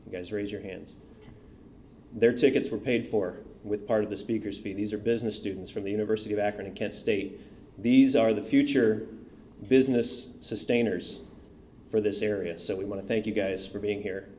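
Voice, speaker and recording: A man's voice: on the low side, fairly even intonation, moderate pace, mellow, a little hiss or hum. A man hosting an event, giving upbeat announcements and talking straight to the crowd. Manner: upbeat, addresses crowd directly. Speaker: event host. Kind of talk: announcements to audience. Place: event hall.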